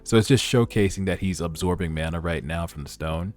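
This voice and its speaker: Male read-along host, deep register, slangy and conversational, reacting over background music. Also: a little fast, darkish tone. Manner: informal, slangy, conversational asides. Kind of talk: casual reaction commentary mixed with narrated reading. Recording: music under the voice.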